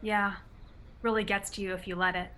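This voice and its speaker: A woman as a teasing, bright-voiced college girl. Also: mid-range voice, flat delivery, fairly quick, natural voice. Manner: wry, teasing delivery. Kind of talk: script reading as radio play.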